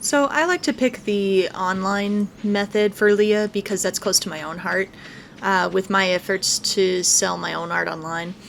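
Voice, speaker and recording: A woman's voice: mid-range voice, steady tone, a little slow, creaky, a little hiss or hum. A woman giving casual let's play commentary as a gaming video host, talking through what she is doing as she goes. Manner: narrates own actions aloud. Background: game music.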